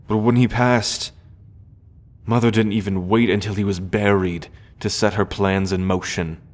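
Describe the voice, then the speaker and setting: Here is an earnest, loyal prince of a medieval fantasy audio drama, acted by a man. His voice sits fairly low and moves very slowly. He chooses his words formally, with careful, hesitant pauses. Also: lightly acted. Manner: formal, measured, hesitant diction. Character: earnest, grieving prince, loyal sibling of the dragon heir. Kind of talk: fantasy audio roleplay acting.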